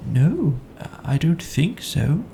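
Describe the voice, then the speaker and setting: A friendly, simple-minded storybook bear, as a man acts him out, talks slowly and mildly. He muses and hesitates gently, with some creak in the voice. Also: fairly high pitch, big pitch swings, slight background noise, exaggerated voice, poor audio. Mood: innocent. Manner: mild, musing, hesitant. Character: slow-witted, gentle, friendly male toy bear from a children's storybook.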